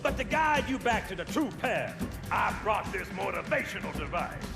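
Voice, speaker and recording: A tough video game marine sergeant with a gravelly, raised voice. He is animated and commanding, barking like a drill instructor. Loud music swells behind him. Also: sits high, moderate pace, exaggerated voice. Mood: rousing. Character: gruff, tough, rousing male marine sergeant. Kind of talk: video game cutscene acting.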